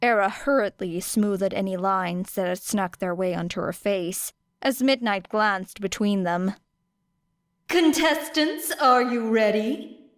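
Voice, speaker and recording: Dry recording, female audiobook narrator, slow delivery, expressive scripted storytelling, dramatic deliberate phrasing. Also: medium pitch, smooth, no room sound.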